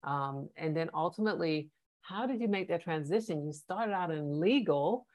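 Low-voiced woman hosting an interview. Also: expressive, normal speed, darkish tone. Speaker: podcast host, interviewer. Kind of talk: conversational interview.

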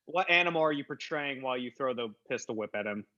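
A man's voice: mid-range voice, animated, fast, over a noisy crowd, lightly acted. A man playing an earnest, pretentious acting coach giving instructions in improvised comedy, on slightly muffled audio. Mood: earnest, commanding.

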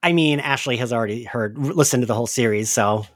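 A man co-hosting a casual recap chat, talking in an animated, conversational way with the odd swear word. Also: medium pitch, fast talker, dry recording, studio quality. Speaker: podcast co-host.

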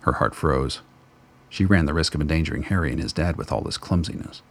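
Guy narrating a radio play, really deep and gravelly, kinda husky, wry. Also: fairly quick. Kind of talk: scripted narration.

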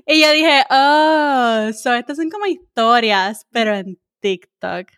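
Higher-pitched Puerto Rican woman, chatty interviewer. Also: expressive, slow, fairly bright tone, no room sound, clean studio sound. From Puerto Rico. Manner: encouraging, chatty, peppered with English words. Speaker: interviewer, host. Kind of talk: casual conversational interview.

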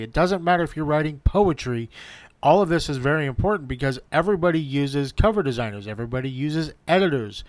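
A man's voice: a little high, no room sound. A man hosting his own podcast, giving an earnest solo update and listing his points in repeated runs. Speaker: podcast host.

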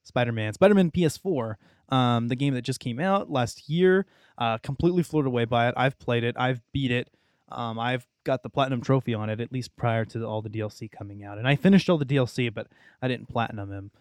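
Male, young adult. Smooth, chatty, rambling podcast co-host, recorded close and dry. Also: mid-range voice, brisk, no echo. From Texas, United States. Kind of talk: casual video game discussion.

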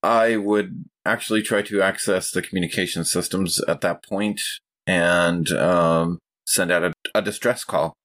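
A man with a lowish voice takes his turn in a tabletop role-playing game. He speaks casually and practically, halting a little as he plans his moves. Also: steady tone, a bit bright. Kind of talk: casual improvised game talk.

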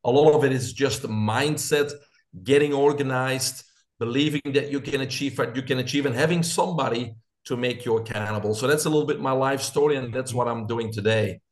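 Picture a middle-aged man with a Belgian accent, answering an interviewer with earnest conviction. He builds his points through lists, pushing each idea forward like a motivational coach. His voice sits at an ordinary middle pitch. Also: brisk. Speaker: guest, coach and biohacker. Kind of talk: interview storytelling.

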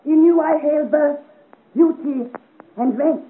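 A woman's voice: high-pitched, slow. A woman playing a mysterious, witch-like fortune teller with an incantatory, theatrical delivery in a 1950s radio serial. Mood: portentous. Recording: echoey, tinny old radio recording.

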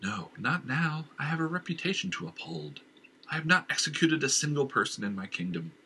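Man doing a stubborn king, proud, commanding, firm, lively pitch, storybook. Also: brisk, slight background noise, full character voice.

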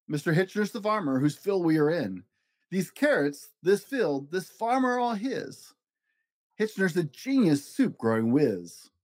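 An eccentric adventurer of a man from a picture book, acted by a reader, theatrical and bubbling over with exclamations. The pitch jumps high and swings widely, in a dry, close sound. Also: no room sound. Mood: showy, upbeat. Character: exuberant eccentric male balloon pilot, chief soup supervisor.

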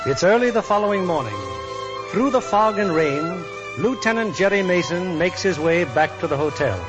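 A man with a fairly high voice narrates as a 1940s radio host. His delivery is polished and theatrical, with music clearly behind him. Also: animated, moderate pace.

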